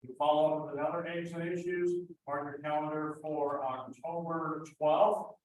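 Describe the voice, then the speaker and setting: A man using a loud coaching voice without a microphone, making chamber announcements at a member meeting. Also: fairly high pitch, level tone, moderate pace, slight background noise. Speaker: chamber of commerce representative. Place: meeting room. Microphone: none, room pickup. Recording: very roomy, from far off, faint background ambience.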